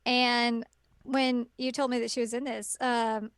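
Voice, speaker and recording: A woman with a fairly high voice co-hosts a casual podcast, chatty and upbeat. Her voice sounds dry and close to the mic. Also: little pitch movement, clean tone, dry recording. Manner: chatty, upbeat, quick reactions. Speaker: podcast co-host. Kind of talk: casual chat.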